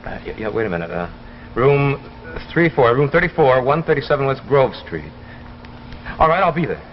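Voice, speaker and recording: An actor playing a frustrated, defensive out-of-work engineer with an American accent and a halting manner, in 1950s science fiction drama style. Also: male voice, mid-range voice, very animated, lightly acted. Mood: hesitant, anxious. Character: frustrated unemployed married engineer, defensive and hesitant. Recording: muffled, noisy 1950s broadcast recording.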